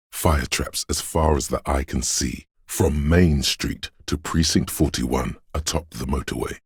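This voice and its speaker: An actor voicing a brooding, mystical inner voice in a video game, narrating in a hushed, solemn, measured and poetic way. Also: male voice, dry recording, studio quality, full character voice. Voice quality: very deep, gravelly, husky. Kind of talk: slow atmospheric scripted game narration.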